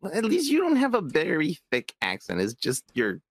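A man's voice: a little high, huge pitch swings, moderate pace. A man co-hosting a podcast, who laughs a lot and teases his partner with dry replies. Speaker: podcast co-host. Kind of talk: casual banter.

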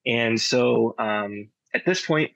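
A man with a middle-pitched voice talks casually as an interview guest. His manner is modest and understated. The sound is very dry and slightly dull, lo-fi. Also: slow, creaky, a bit bright, no room sound. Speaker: audio drama director and sound designer.

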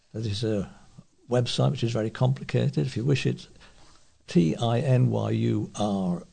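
A man's voice: medium pitch, vocal fry, dark tone, breathy edge. A man reading local news aloud as a volunteer newsreader.

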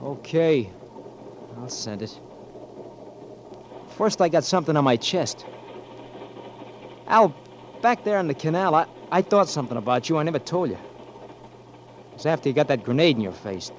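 A man's voice: a little high, sing-song, normal speed, long pauses, slight background noise. An actor playing a loyal, blunt marine buddy who confronts his friend, in 1940s radio acting. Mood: confronting.

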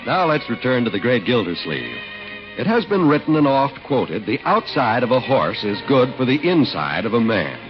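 A muffled old radio broadcast with faint music. A male announcer reads scripted copy in an emphatic 1940s style, with lively intonation. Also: medium pitch, moderate pace. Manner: polished, emphatic announcer delivery.

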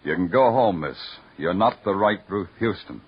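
Terse radio police detective, hard-boiled, swinging intonation, muffled scratchy vintage recording. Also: male voice, deep voice, expressive, normal speed, over loud music. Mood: impatient, curt. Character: hard-boiled male New York police detective lieutenant, curt. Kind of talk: 1950s radio crime drama acting.

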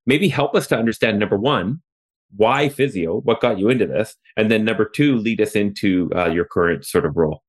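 Low-voiced Canadian man hosting an interview. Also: a little fast. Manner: chatty, loose, many fillers and restarts. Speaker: podcast host. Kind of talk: conversational interview. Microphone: remote call.

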